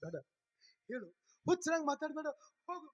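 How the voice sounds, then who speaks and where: A man playing a tender young village husband, his voice unusually high. He pleads tearfully and from the heart, with music under him. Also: very animated, normal speed, highs cut off. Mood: pleading.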